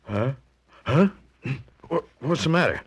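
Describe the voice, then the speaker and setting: Easygoing male heir in his thirties, teasing, skeptical, 1940s radio drama, animated intonation. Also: a little high, slow, slightly rough, heavily acted. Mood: sleepy exasperation. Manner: breezy, exasperated, colloquial. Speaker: actor.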